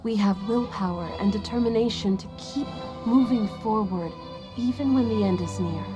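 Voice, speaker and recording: A woman playing a thoughtful, sorrowful mentor in a story game, speaking in an earnest, reflective and measured way. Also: mid-range voice, reverberant, over music, close to an everyday voice. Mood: earnest, resolute. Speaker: voice actor. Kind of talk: dramatic game voice acting.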